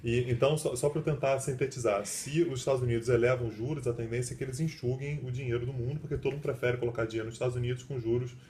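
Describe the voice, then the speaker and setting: A man's voice: mid-range voice, steady tone, dark tone, slight background noise. A man, a professor co-hosting a casual chat, joking and informal. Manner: joking, informal, rapid banter. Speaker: co-host, professor.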